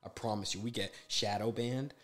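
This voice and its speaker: A man's voice: fairly low pitch, fairly even intonation, normal speed. A man in his twenties, a guest on a casual podcast, talking in a laid-back, slangy way and growing emphatic when he makes a point. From USA. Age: 20s.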